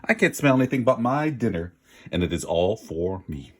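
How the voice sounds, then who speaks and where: A man acts out a boastful prehistoric human from a storybook, greedy and scornful. The voice is husky and swings widely in pitch, leaning hard on words as it taunts. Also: big pitch swings, moderate pace. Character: mocking, boastful, greedy prehistoric human guarding his dinner. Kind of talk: animated storybook read-aloud.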